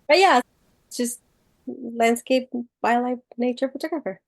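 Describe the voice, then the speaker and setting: A woman's voice: on the high side, measured pace, vocal fry, dry recording. Mexican-accented woman, heard from afar. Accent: Mexican Spanish. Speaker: guest.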